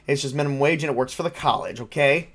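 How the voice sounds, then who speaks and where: A defensive, broke college teaching assistant in his thirties, played by a man at a game table, in a mid-pitched, everyday male voice. He argues his case casually in a tabletop horror game. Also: fast talker, fairly bright tone. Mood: indignant. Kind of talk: improvised tabletop roleplay.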